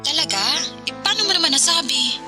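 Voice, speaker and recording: A woman's voice: high-pitched, animated, fairly quick, music in the background. A woman playing a cheerful young office friend chatting on a phone call in a radio drama. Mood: friendly.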